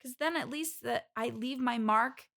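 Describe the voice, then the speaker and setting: A woman's voice: on the high side, unhurried, vocal fry, fairly bright tone. A woman playing a needy, lonely bridesmaid desperate for friends, rambling earnestly as a guest on an improvised comedy podcast. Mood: earnest, wistful.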